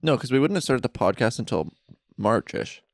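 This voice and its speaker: Animated, gravelly young man. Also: mid-range voice, fairly quick, dry recording. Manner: rambling, animated storyteller. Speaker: podcast co-host. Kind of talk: casual chat. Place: friend's basement.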